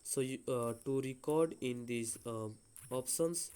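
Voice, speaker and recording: A man giving an unscripted step-by-step phone app tutorial as host, speaking in a halting, hesitant way with repeated phrasing. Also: medium pitch, dark tone, clear, resonant tone.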